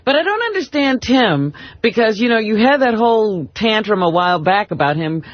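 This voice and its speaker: An American woman chats casually as a radio co-host. Her intonation is very lively, and the recording is dry and a little muffled. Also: fairly high pitch, moderate pace, dry recording. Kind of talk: casual banter. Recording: dull band-limited radio recording.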